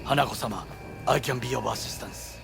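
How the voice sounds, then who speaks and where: A very gravelly, stern bodyguard. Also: male voice, medium pitch, fairly quick, music in the background. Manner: grave, terse. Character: grave, honour-bound Japanese corporate bodyguard, stern and loyal. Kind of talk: video game voice acting.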